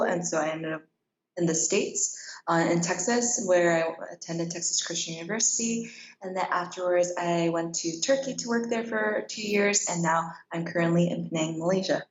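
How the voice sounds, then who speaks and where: A woman's voice: fairly low pitch, steady tone, normal speed. A young adult woman from South Korea who grew up in Kenya, chatting casually as an interview guest and peppering her answers with "like". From South Korea, raised in Kenya. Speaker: interview guest, middle school teacher. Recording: roomy, dull lo-fi sound.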